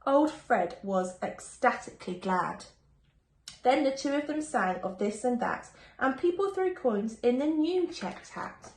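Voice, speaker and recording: A woman reading a rhyming children's picture book aloud in an expressive, sing-song storytelling manner, like a primary school teacher. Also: fairly high pitch, unhurried. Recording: echoey room with faint background noise.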